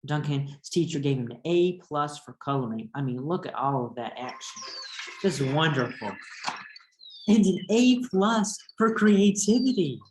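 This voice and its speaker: A man's voice: fairly high pitch, animated, measured pace, slight background noise. Man teaching a read-aloud in an echoey room. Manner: instructive, addressing young pupils. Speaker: teacher reading a picture book aloud. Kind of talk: read-aloud lesson with explanations and questions.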